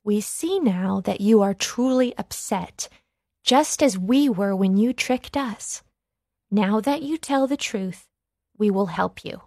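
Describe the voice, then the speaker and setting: A woman voicing a stern villager in a children's storybook, admonishing in a measured way. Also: mid-range voice, expressive, warm tone, dry recording, clean studio sound. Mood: earnest. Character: stern, reproving group of adult villagers.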